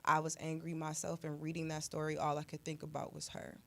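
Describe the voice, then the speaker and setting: A woman's voice: low-pitched, monotone, a little fast, gravelly, a bit bright. A woman speaking earnestly and emphatically in a serious, heartfelt talk show conversation. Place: indoor radio talk show studio.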